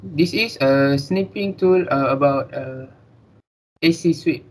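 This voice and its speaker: A man's voice: a little high, slow. A young man with a Malaysian accent reading out a scripted student presentation. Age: young adult.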